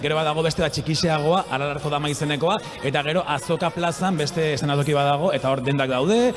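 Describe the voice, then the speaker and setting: Brief: male host, Basque accent, slightly high voice, casual live festival chat, reverberant sound. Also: fast. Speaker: radio host. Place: festival radio set. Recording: echoing live PA with loud music.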